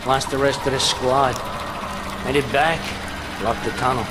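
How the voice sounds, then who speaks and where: A man's voice: fairly even intonation, unhurried, close to an everyday voice. War game veteran, male actor: wounded, resolute, terse, mid-range voice over heavy engine-like noise. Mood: grim resolve. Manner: terse, clipped military storytelling. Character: grim wounded soldier reporting lost squad, resolute. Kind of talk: video game cutscene acting. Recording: loud engine-like rumble behind.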